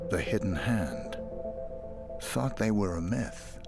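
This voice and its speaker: A man playing a terse professional assassin in a video game, with clipped, deadpan delivery. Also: lowish voice, slow, vocal fry, full character voice. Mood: cool, watchful. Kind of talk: scripted dramatic game dialogue. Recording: loud music behind the voice.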